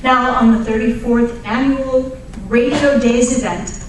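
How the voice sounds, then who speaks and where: A woman presents as an old-time radio announcer, measured and theatrical. Her voice is fairly high and unhurried. She sounds distant in a very echoey hall with faint noise. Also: fairly even intonation. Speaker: announcer of a live radio play re-creation.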